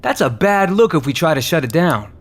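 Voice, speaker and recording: An actor playing a cautioning, level-headed police chief in an audio drama, measured and respectfully firm. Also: male voice, a little high, very animated. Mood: firm, concerned. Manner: measured, pushing back respectfully.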